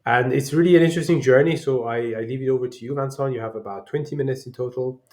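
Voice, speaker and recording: Brief: male online event host, mid-pitched, casual and friendly handoff to the speaker. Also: quick pace, darkish tone. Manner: friendly, loose, with filler sounds. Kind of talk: introducing a speaker.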